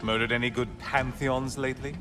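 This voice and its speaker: An actor playing a smug, mocking sun god in a video game, theatrical and full of sarcasm. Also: male voice, medium pitch, flat delivery, brisk, over music, lightly acted. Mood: taunting. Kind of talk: cinematic video game acting.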